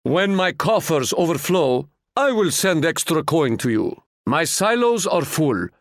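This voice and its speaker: A male actor playing a proud, wise Ottoman sultan in a video game, speaking in a stately, formal and measured way. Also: fairly high pitch, big pitch swings, no room sound, studio quality. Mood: generous, assured. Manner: stately, formal, measured, proverb-laden delivery. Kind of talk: scripted video game character lines.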